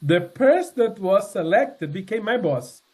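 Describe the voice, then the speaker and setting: A man in his sixties giving a personal testimony to a congregation, speaking earnestly and haltingly, with many fillers and restarts. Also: high voice, very animated, darkish tone, husky. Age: 60s. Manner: earnest, sincere. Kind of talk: personal testimony talk with slides.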